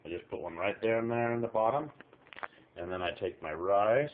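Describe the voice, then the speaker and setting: A man's voice: fairly low pitch, animated, moderate pace. A middle-aged man casually explaining a recipe in a small kitchen, chatting to viewers while filming one-handed. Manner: folksy, chatty instructions, talks to the viewer. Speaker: home cook filming a recipe. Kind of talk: casual cooking tutorial. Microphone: handheld camera filming overhead. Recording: tinny phone-like audio.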